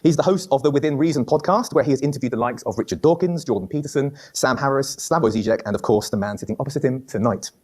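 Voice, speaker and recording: A man's voice: mid-range voice, fast, few pauses, darkish tone, breathy edge. A man moderating a formal debate event, introducing the speakers in an announcing, ceremonial way.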